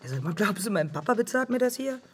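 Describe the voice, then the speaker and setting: A woman playing a sharp, teasing young student in a naturalistic radio drama, with a brisk, matter-of-fact way of talking. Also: a little high, sing-song, soft background music. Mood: wry, frank. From Iran. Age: young adult.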